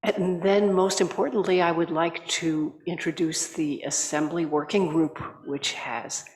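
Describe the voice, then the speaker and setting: An older woman chairing a conference, giving a measured, courteous welcome into a desk microphone. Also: lowish voice, fairly even intonation, a little mellow. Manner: measured, courteous, slightly halting. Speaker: assembly chair. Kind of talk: formal welcoming address. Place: large conference hall. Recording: echoey reverberant hall.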